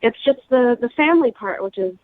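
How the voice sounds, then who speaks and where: Woman, phone-in caller, higher voice, hesitant, casual, thin telephone sound. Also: expressive, brisk, no echo. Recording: muffled lo-fi phone line.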